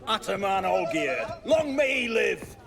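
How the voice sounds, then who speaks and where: A man's voice: high voice, big pitch swings, measured pace, vocal fry, a crowd in the background, heavily acted. A man voicing a rowdy bandit henchman in a game, declaiming in a jovial, boisterous way. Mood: rowdy, celebratory. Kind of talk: video game cutscene dialogue.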